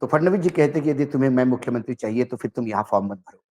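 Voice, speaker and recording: A man giving political commentary, speaking emphatically and deliberately in short phrases as he recounts dialogue. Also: mid-range voice, animated, darkish tone. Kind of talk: political commentary, narrating and translating a reported conversation.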